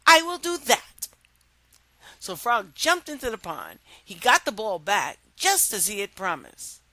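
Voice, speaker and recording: A woman telling and explaining a children's story as a reading teacher, in an expressive, deliberate manner. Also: mid-range voice, dry recording.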